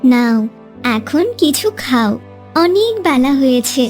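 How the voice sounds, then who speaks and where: The kindly little hen bird in a children's cartoon, piping very high and earnest from her nest over soft music, acted by a woman. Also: expressive, slow pace. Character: small kind, caring female bird, dutiful wife. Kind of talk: scripted cartoon dialogue.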